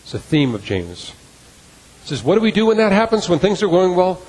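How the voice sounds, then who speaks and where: A man's voice: sits high, big pitch swings, brisk, a little mellow. A middle-aged man preaching a sermon as pastor, earnest and repeating key phrases for emphasis. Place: church sanctuary. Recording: long reverb of a church hall.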